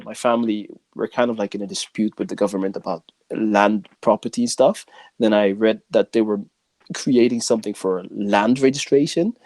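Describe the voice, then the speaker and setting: A man hosting a podcast in a casual, conversational way, speaking haltingly and often dropping in filler phrases. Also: mid-range voice, a little mellow, no echo. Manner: halting, frequent "you know". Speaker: podcast host.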